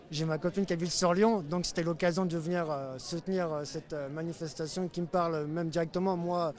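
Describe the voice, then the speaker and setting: A man's voice: on the high side, steady tone, a little fast, darkish tone. A man who is a local elected official, from France, answering a street interview casually with many fillers. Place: outdoors in a city.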